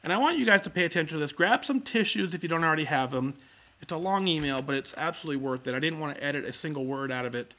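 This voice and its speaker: A man's voice: fairly high pitch, lively intonation, very fast, bright, forceful tone. A man hosting a live podcast, chatting casually with his audience in an upbeat, encouraging way and making announcements. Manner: upbeat and encouraging, with frequent filler words and restarts. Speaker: podcast host.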